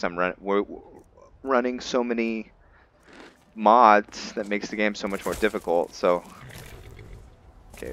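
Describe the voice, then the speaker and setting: A man giving casual let's-play commentary and roleplay narration in a halting, musing way, often correcting himself. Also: deep voice, a bit bright. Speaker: host of a roleplay gaming series.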